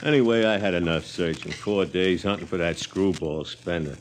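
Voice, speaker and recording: A man's voice: deep voice, normal speed, close to an everyday voice. An actor playing a crude, callous American spaceman in 1950s radio drama style, slangy and scornful. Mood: griping. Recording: noisy old 1950s radio recording.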